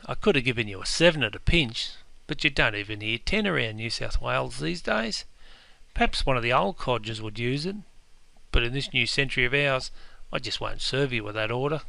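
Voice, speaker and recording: A man plays a gruff Australian publican who corrects every order in thick ocker slang. His voice is bright and smooth. It is recorded close and dry, with no room sound. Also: medium pitch, dry recording. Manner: broad ocker slang, scolding banter. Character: gruff, pedantic Aussie publican, quick to correct. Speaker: publican character. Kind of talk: scripted comedy dialogue.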